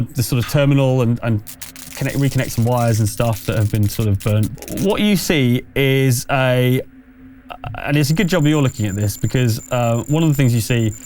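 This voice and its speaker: A man's voice: mid-range voice, fairly quick, darkish tone, soft background music. A man running a tabletop roleplaying game, narrating and explaining in a conversational, slightly hesitant way. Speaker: game master.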